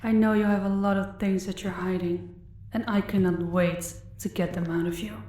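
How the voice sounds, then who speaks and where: A woman's voice: medium pitch, steady tone, moderate pace, warm tone, recorded from a distance, lightly acted. A woman playing a sadistic crime boss in an audio roleplay, condescending and politely menacing as she toys with her captive. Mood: menacing, gloating. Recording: echoey room, away from the mic.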